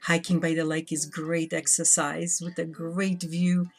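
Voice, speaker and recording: A woman chats casually during a let's play and reads game text aloud in a measured, lightly theatrical way. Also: low voice, little pitch movement, breathy edge. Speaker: guest co-player. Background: background game music.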